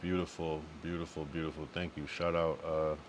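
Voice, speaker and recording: A man's voice: a bass voice, level tone, fairly quick, a little hiss or hum, rough recording. A young man from the US East Coast chatting loosely on a late-night live stream, laid-back and profane, often repeating his phrases. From Connecticut and Massachusetts, US East Coast.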